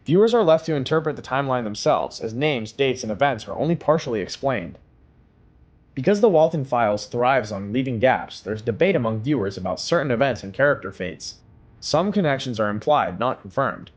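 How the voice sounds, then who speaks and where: A man reading scripted explainer narration in a measured, even documentary manner. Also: mid-range voice.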